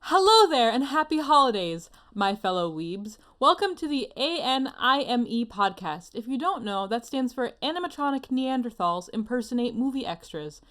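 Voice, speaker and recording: A woman's voice: fairly high pitch, big pitch swings, moderate pace, hardly any pauses, a bit bright. A woman hosting a casual podcast in a playful, giggly, jokey manner. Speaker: podcast host.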